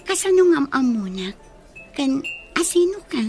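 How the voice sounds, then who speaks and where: A woman's voice: sits high, sing-song, normal speed, warm tone, faint music behind. A Filipino woman playing a trusting, stubbornly loyal young woman in love in a radio drama. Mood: wary.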